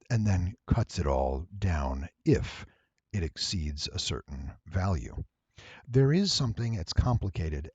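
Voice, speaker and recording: A man narrating a tutorial, explaining things step by step in a deliberate, emphatic way. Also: sits low, a little mellow, slightly rough, dry recording, muffled recording. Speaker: voice actor giving an audio editing tutorial. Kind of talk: explanatory screen-recording narration.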